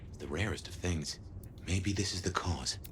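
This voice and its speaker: An actor playing a world-weary noir detective in a video game, wry and colloquial, with a drawl. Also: male voice, low-pitched, normal speed, a little hiss or hum, close to an everyday voice. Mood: musing.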